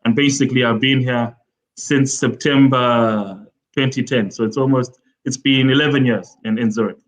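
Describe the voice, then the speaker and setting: A man from Zimbabwe in his forties, a football development official, explaining his career at a measured pace in a live online interview, with frequent fillers. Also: mid-range voice, fairly even intonation, low-quality recording. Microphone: video call.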